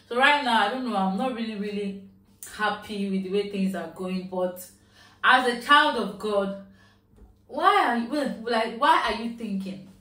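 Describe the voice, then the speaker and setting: A woman with a Yoruba-tinged Nigerian accent talks through a shopping haul at home, chatty and easy, dropping into Pidgin now and then. Her voice sits at a middle pitch. She is a little away from the mic in a roomy space. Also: a bit bright, distant mic. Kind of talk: casual chat to camera. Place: at home, indoors.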